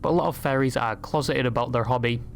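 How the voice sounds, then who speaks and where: A man's voice: medium pitch, quick pace, gravelly, slight background noise. A man reading scripted commentary as a video essayist, with a sardonic manner.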